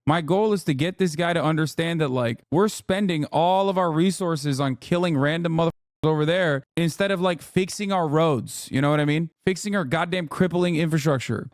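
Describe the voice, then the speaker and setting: A man's voice: on the high side, no room sound, clean studio sound. Heated, profane male streamer with an American accent. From Turkey. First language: Turkish. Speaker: livestream host reacting to news clips. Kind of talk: casual political commentary rant.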